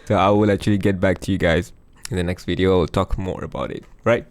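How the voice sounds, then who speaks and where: A man losing his voice, chatting casually through a music software demo over a screen recording. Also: deep voice, fairly even intonation, fast. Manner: chatty, thinks aloud with fillers. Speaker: music production youtuber.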